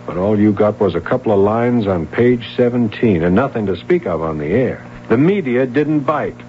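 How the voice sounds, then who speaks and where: An actor playing a probing, blunt yet courteous police detective sergeant with an American accent in a radio drama. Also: male voice, on the low side, animated, moderate pace, lightly acted. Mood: accusing, sardonic. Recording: dull, hissy, humming vintage radio broadcast.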